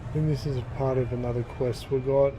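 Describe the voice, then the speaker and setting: A man's voice: medium pitch, steady tone, fast talker, noisy background. A man doing casual live commentary as he plays a game, muttering to himself with mild frustration. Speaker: game streamer.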